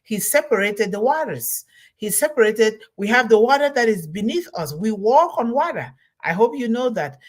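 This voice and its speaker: A middle-aged woman from Cameroon, hosting a solo motivational talk, emphatic and repeating her key words. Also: medium pitch. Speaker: host of a motivational talk series.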